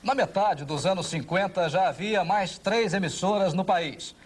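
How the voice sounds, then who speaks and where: A man's voice: high voice, unhurried, breathy edge. A Brazilian man reading scripted documentary narration.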